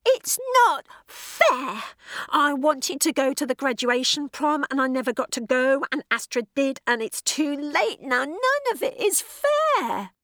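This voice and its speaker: A bitter teenage girl's voice, trapped inside someone's head. She whines, sneers and sobs, her tone piercing and bright as it leaps wildly up and down. She draws her words out slowly and dramatically. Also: high voice, big pitch swings, no room sound, studio quality, voice pushed up, heavily acted. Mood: furious. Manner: whining, sneering, sobbing outbursts. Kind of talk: audiobook character voice.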